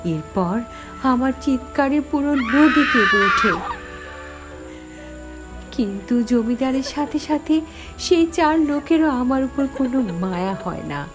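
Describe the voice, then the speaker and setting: A woman's voice: high-pitched, lively intonation, takes it slowly, long pauses. A woman voicing the sorrowful ghost of a young village teacher, telling her story dramatically in a horror cartoon. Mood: anguished. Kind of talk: dramatic first-person horror story narration. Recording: background music under the voice.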